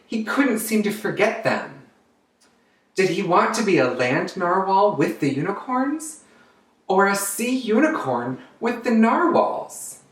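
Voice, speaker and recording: Slow, very lively children's storyteller in echoey room. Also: medium pitch, distant mic. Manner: theatrical, drawn-out words, addresses young listeners. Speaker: storyteller, drag queen host. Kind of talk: children's picture book read-aloud. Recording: roomy, echoey, away from the mic.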